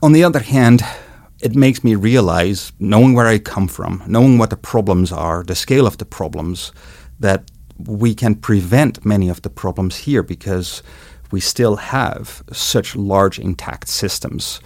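A middle-aged man with a Dutch accent, giving measured, explanatory interview answers with some hesitations. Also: lowish voice, darkish tone, no room sound. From Netherlands. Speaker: guest road ecologist.

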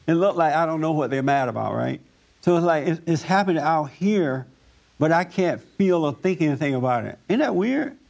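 A man's voice: on the high side, animated, brisk, creaky, darkish tone. A man hosting an informal preaching discussion, speaking in a folksy, plainspoken way with loose grammar. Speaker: host leading a group discussion. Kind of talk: informal preaching talk with audience questions.

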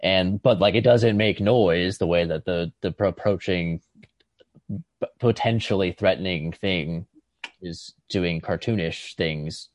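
A man co-hosting a podcast, reflecting casually and haltingly, circling back as he searches for the right words. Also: deep voice, no room sound. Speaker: podcast co-host.

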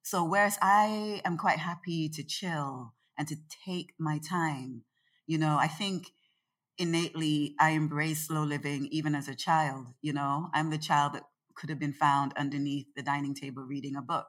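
A woman with a very low voice talks as a guest in a relaxed interview. She is expressive and chatty. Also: moderate pace. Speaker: guest, organization founder. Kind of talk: casual conversational interview. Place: in Nevis.

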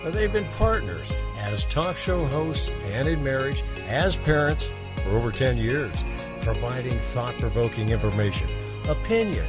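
A man reading a scripted talk show intro in a smooth announcer manner, with music behind him. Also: medium pitch, expressive, unhurried. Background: music bed.

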